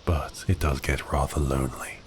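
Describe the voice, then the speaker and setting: A man playing a giant cursed sea beast prince in an ASMR audio roleplay, speaking in grand archaic phrases with a teasing, theatrical manner and a breathy voice that dips into whispers. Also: a bass voice, steady tone, moderate pace, slight background noise. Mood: wistful, resigned.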